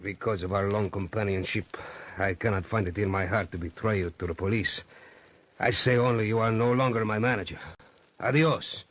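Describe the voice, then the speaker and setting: Principled boxer, lowish husky voice, stern, formal, vintage muffled radio drama. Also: male voice, steady tone, moderate pace, a bit bright, no room sound. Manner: formal, solemn. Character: proud, honest Argentine prizefighter, principled and stern. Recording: muffled old radio recording.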